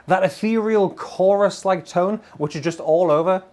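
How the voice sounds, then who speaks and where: A man in his thirties giving an excitable, informal gear demo as a guitar reviewer. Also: sits high, normal speed. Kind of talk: enthusiastic casual product demo. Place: indoor guitar studio room. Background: electric guitar playing.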